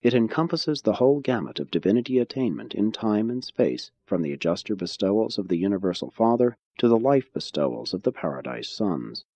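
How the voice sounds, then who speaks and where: A man narrating a religious audiobook in a measured, solemn way with deliberate phrasing. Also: on the low side, very animated, warm tone. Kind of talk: scripted narration, religious text reading. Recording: dull, muffled audio.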